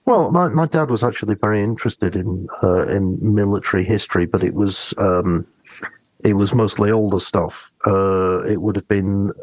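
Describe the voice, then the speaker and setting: A man co-hosting a podcast, talking casually about books and telling personal anecdotes in a hesitant, reflective, halting way. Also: low voice, dry recording, rough recording. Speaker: podcast co-host.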